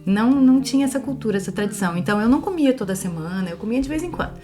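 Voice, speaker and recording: A woman from Brazil, hosting a language podcast in a clear, friendly, teacherly way. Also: on the high side, expressive, rapid-fire, warm tone, soft background music. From southern Brazil. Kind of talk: casual conversational podcast chat.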